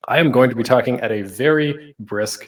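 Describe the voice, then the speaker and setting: A man's voice: mid-range voice, brisk, recorded from a distance. A man giving a casual, informal presentation as a panel host, with rhetorical questions and offhand self-deprecating asides.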